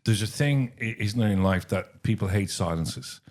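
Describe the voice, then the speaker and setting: A man with an Irish accent co-hosting a podcast, telling stories in an animated, chatty way. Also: on the low side, normal speed. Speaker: podcast co-host. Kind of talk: casual podcast chat.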